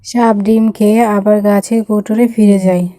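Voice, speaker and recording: A woman reads a children's fairytale in a soft, mellow tone. Her pitch stays quite even, and the recording is dry and close. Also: normal speed, no room sound, low-quality recording. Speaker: storyteller, narrator. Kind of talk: scripted children's fairytale narration.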